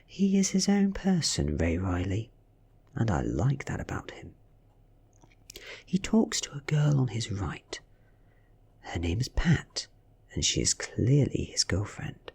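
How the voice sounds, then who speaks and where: A British man reading a story in a soft, hushed, half-whispered ASMR style, soothing and breathy. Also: lowish voice, very animated. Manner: slow, soothing, hushed delivery. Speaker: audiobook reader.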